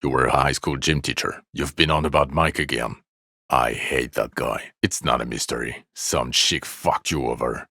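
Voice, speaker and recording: A bitter, sardonic male police detective from a video game. The voice sits extremely low, with heavy gravel and fry, and delivers blunt lines with weary deadpan sarcasm. The sound is close and dry. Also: normal speed, no echo, heavily acted. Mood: weary, grudging. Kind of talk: dramatic video game dialogue.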